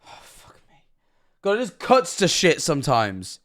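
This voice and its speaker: A man's voice: a little high, huge pitch swings, a little slow, a bit bright. A British man giving casual, off-the-cuff reaction commentary as a livestream host, joking and swearing in a sarcastic way. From Britain.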